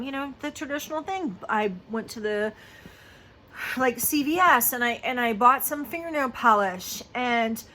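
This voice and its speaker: A woman's voice: medium pitch, sharp tone, slight background noise. A woman in her fifties talking casually to the camera, chatty and confiding, with lots of fillers like "you know" and "um". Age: 50s. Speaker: lactation consultant. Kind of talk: casual personal vlog talk.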